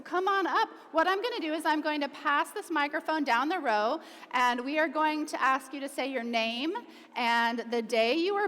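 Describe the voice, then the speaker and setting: Woman leading a service, high voice, chatty and encouraging, long reverb of a big hall. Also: fairly bright tone. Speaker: service leader. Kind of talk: leading a congregation. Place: large meeting hall.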